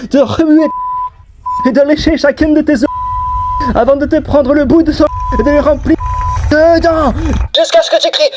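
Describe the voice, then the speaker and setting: Man putting on a high, lustful cartoon demon. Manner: melodramatic, hungrily seductive. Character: lustful, theatrical adult male cartoon demon. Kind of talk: cartoon dub acting.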